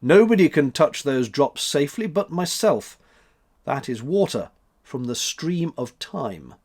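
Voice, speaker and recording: A man voicing old Father Time in a storybook, a self-assured old man with a measured, matter-of-fact manner that can turn severe. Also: on the high side, sing-song, darkish tone, slightly rough, heavily acted.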